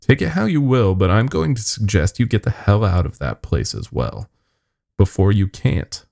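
A man acts out a shaken young lab worker in a horror audio story. His voice sits low with a gravelly fry. He confesses urgently and nervously, swearing as he goes. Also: very animated, moderate pace, a little mellow, full character voice. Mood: grim warning.

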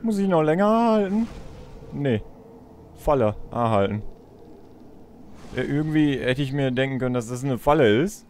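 A man's voice: medium pitch, lively intonation, moderate pace, long pauses, darkish tone, a little hiss or hum. A young man giving casual let's play commentary, chatting and thinking aloud as he goes. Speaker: let's player.

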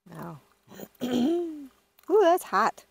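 A middle-aged woman chatting casually to herself while camping, a little stuffy, with soft murmured asides. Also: high voice, very animated, extremely slow, gravelly, a little hiss or hum. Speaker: camping vlogger. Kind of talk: casual solo vlog chat. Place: outdoors in pine woods.

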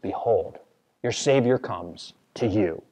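An American man preaching a sermon as a pastor, speaking earnestly and in a measured way with deliberate pauses. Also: on the low side, animated, dark tone, breathy edge. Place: large church sanctuary, at a pulpit. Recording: long reverb of a church hall.

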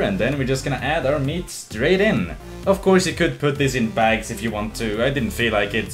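A young man narrating a casual cooking video in a relaxed, joking way, with fillers. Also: medium pitch. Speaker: cooking show host. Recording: voice-over with clear background music.

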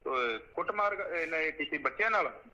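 A man's voice: a little high, expressive, brisk, bright, forceful tone. A man on a phone call, questioning and talking insistently, often repeating his words. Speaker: caller. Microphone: telephone. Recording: muffled lo-fi phone call recording.

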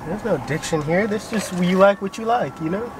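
A man's voice: high voice, expressive, a little fast, distant mic. Joking young man in a noisy car. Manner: joking, teasing, laughs often. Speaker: vlogger. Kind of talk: casual chat with friends. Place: car and outdoors in cold wind. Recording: loud road and wind noise.

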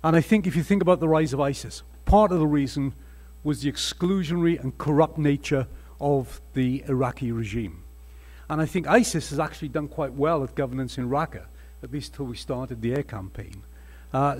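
A man's voice: medium pitch, normal speed, a little mellow. An older man with a Welsh accent giving an earnest, emphatic talk at a conference panel table, with frequent restarts. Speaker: panel speaker. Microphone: table microphone.

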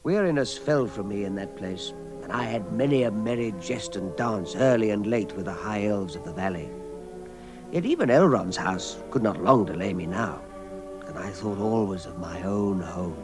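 An actor playing a reflective hobbit adventurer, wistful and lyrical, in a radio drama. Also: male voice, on the low side, animated, normal speed, over music, natural voice. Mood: nostalgic. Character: reflective male hobbit adventurer recalling travels. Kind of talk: radio drama monologue and verse.

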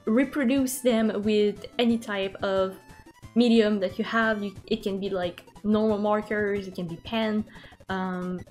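Chatty French Canadian woman, soft music. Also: mid-range voice. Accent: Quebec French. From Quebec, Canada. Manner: chatty, many ums and uhs, light laughs. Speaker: bullet journal YouTuber. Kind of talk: casual voice-over narration.

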